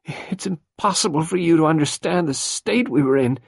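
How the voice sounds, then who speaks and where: A man's voice: on the high side, lively intonation, moderate pace, gravelly, breathy edge, no echo, clean studio sound, pitched above the performer's own voice, full character voice. A man reading an audiobook, voicing a distraught young woman who pleads and falters.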